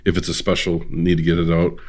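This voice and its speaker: A man's voice: low voice, completely flat delivery, fast, darkish tone. A man co-hosting a music history podcast, chatting casually and joking with the guest and the other hosts. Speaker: podcast co-host. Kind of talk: casual interview chat.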